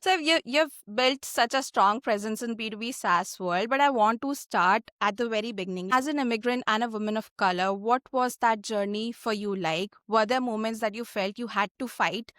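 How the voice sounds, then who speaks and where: A woman's voice: fairly high pitch, normal speed, hardly any pauses, fairly bright tone, no room sound, clean studio sound. A woman hosting a podcast, giving prepared introductions and asking interview questions. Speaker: podcast host.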